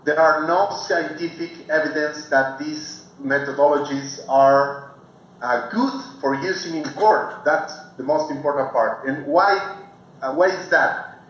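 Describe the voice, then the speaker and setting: A Mexican man, a native Spanish speaker, gives an informal guest talk in halting English, searching for words as he goes. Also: a little high, fairly bright tone. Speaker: guest speaker, forensic researcher. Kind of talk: informal public lecture. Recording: very echoey, faint noise, remote video call.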